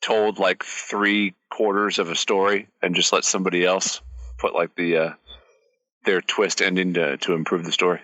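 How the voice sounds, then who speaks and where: A man's voice: sits low, flat delivery, moderate pace, bright, forceful tone, dry recording, lo-fi sound. A man co-hosting a podcast, blunt and dry, swearing casually in banter. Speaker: podcast co-host.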